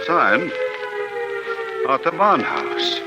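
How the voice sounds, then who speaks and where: An actor playing an impatient, commanding army general who barks urgent orders in an old radio drama. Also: male voice, medium pitch, wildly swooping pitch, extremely slow, full character voice. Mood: grave reading. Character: commanding, impatient male army general running a weapons test. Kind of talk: mid-century radio drama acting. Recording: old radio recording with loud music.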